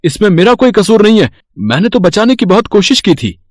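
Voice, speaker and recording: A man's voice: high voice, sing-song, a little fast. A man playing a defensive, apologetic driver in a moral story, with a hesitant manner. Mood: defensive, uneasy.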